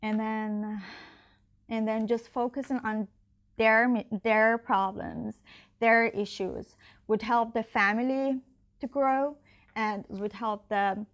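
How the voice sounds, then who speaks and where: A young woman candidate answering interview questions in English, not her first language, with many fillers and restarts. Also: medium pitch. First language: not Icelandic. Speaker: election candidate.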